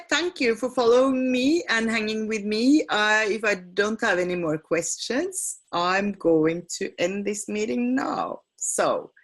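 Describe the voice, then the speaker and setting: A woman teaching feng shui and decluttering in a live webinar. English is not her first language, and she talks haltingly, with many fillers and self-corrections. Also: mid-range voice. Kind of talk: live webinar sales pitch and Q&A. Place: home on a small island.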